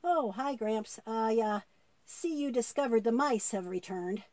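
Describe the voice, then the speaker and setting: A woman's voice: a little high, a little slow, darkish tone, no echo, close to an everyday voice. A woman voicing an eager, good-hearted young boy puppet who thinks aloud artlessly in a children's puppet show. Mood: curious.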